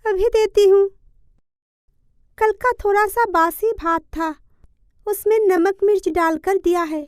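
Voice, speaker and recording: A woman playing a naive, gentle, long-suffering young village wife in an animated moral story, meek and plaintive. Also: extremely high pitch, slow, no echo, studio quality, faint music behind.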